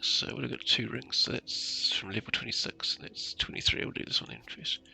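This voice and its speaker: A man's voice: medium pitch, fairly even intonation, fairly quick, creaky, bright, forceful tone, rough recording. A man giving casual commentary as he plays a video game, muttering to himself and often tagging on "eh?". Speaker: gamer commentating a playthrough. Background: game music.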